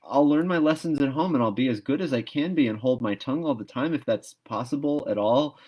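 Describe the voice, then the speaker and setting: A man's voice: medium pitch, a little fast, darkish tone, highs cut off, pitched above the performer's own voice, lightly acted. A solemn little schoolgirl with an iron will voiced by a man, from a storybook. She speaks earnestly and dramatically, with reproach and a somewhat lifted voice. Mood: indignant, firm.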